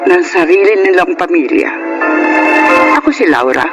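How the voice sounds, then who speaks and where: A reflective middle-aged mother, voiced by an actress, narrates her life calmly and with measured phrasing. Music plays clearly behind her. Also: fairly low pitch, lightly acted. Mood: reflective, resolved. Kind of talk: dramatic first-person narration.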